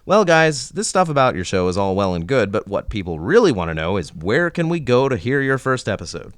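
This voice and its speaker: A man's voice: medium pitch, sing-song, brisk, no echo, clean studio sound. A man hosting a playful scripted podcast interview with a wry, mock-formal manner. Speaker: podcast host.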